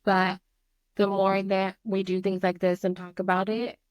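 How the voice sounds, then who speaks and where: A mother speaking earnestly and openly about her teenage son's struggles, with a naturally loud voice and a halting, candid way of talking. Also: mid-range voice, monotone, clear, resonant tone, dry recording. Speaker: mother of a teen on a family counseling show. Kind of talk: candid conversation.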